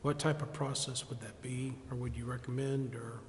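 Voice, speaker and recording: A man's voice: medium pitch, little pitch movement, normal speed. A man asking clarifying questions at a public meeting. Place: indoor council chamber. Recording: echoey chamber with faint noise.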